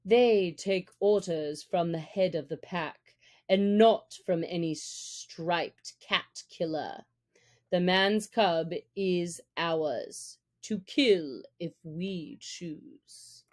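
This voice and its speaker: Woman as a low, steady father wolf. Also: drawn-out words. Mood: proud, firm. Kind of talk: storybook narration character voice.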